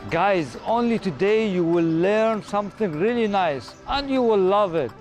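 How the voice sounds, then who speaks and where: A woman's voice: mid-range voice, lively intonation, normal speed, darkish tone, soft background music. A woman hosting a casual interview, inquisitive, asking short questions and echoing answers. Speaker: host, interviewer.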